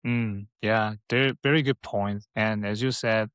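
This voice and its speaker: Korean-accented host, dry close sound. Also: male voice, fairly low pitch, steady tone, fairly bright tone, smooth, no echo. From South Korea. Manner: thoughtful, halting, laughs lightly. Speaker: podcast host. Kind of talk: casual interview chat.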